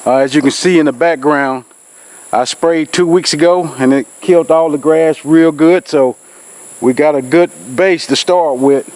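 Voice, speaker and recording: A man's voice: fairly high pitch, normal speed, darkish tone. Nasal-sounding man outdoors. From Georgia, US. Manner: rambling, folksy, with many fillers. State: nasal from a cold. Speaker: outdoors channel host. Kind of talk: casual vlog talk to camera. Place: outdoors in a rural field. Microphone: camera on a tripod.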